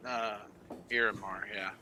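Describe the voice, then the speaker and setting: Low-pitched, bright-voiced man improvising a story. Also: fairly even intonation. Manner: halting, self-correcting, searching for words. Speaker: tabletop game player. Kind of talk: improvised in-character storytelling in a tabletop game. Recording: faint background noise.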